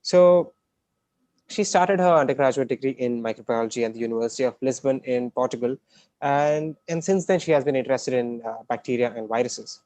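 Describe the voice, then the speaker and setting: A man with a medium-pitched voice hosts a live-streamed event from a video call. His introduction is informal and halting, with frequent hesitation. The sound is dry, with no room echo. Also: darkish tone. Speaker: conference host introducing a speaker.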